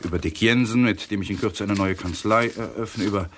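Guarded young lawyer, low voice. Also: male voice, a little fast, fairly bright tone, a little hiss or hum. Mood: evasive. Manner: evasive, defensive, stiffly courteous. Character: guarded, uneasy young male lawyer under questioning. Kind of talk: German radio crime drama acting.

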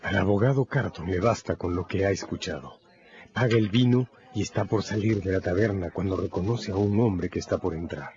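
Low-voiced man narrating a radio drama. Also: a little fast, muffled recording, crowd noise.

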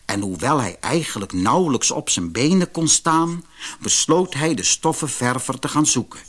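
A man narrating a fairy tale for a children's audiobook in an expressive, measured storytelling manner. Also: fairly high pitch, slightly rough.

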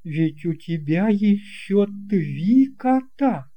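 A woman voicing an effusive, brisk elderly lady in a storybook tale, speaking in emphatic exclamations. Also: medium pitch, lively intonation, warm tone, pitched above the performer's own voice.

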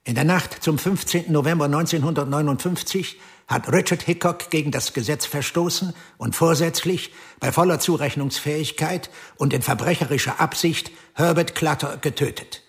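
A man's voice: a little high, moderate pace, few pauses, lightly acted. An actor playing a stern male police officer who reads out formal charges.

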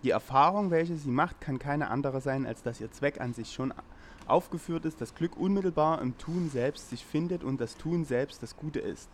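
A man's voice: fairly high pitch, normal speed, slight background noise, lightly acted. A man playing a pompous lecturer, reading dense philosophy aloud in a formal, long-winded way. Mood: solemn. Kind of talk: solemn read-aloud lecture in amateur audio play.

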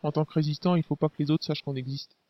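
Male actor as a street-smart young rebel; rapid, slangy, higher voice, dry lo-fi take. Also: steady tone, dry recording, close to an everyday voice. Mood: casual. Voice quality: casual slang, hesitant fillers. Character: young male Nikean resistance fighter, brash and slangy.

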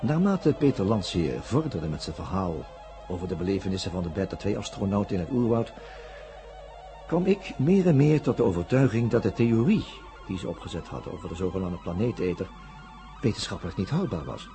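An actor playing an analytical, sceptical male investigator, narrating a science-fiction radio drama in a deliberate, formal, pedantic manner. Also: low-pitched, sing-song. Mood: measured scepticism. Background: music with plucked guitar.

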